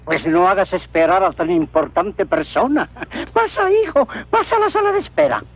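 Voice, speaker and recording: A kindly, amused cartoon friar. His voice leaps up high in an indulgent singsong, recorded on a lo-fi film track. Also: very animated, normal speed, breathy edge, exaggerated voice. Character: plump, kindly, amused friar overruling his grumpy steward. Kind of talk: animated film voice acting. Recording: dull lo-fi old film soundtrack.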